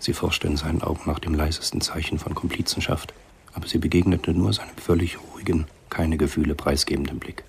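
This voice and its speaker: Male narrator, extremely deep pitch, matter-of-fact, scripted radio drama, slight noise behind. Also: fairly even intonation, fairly quick, gravelly.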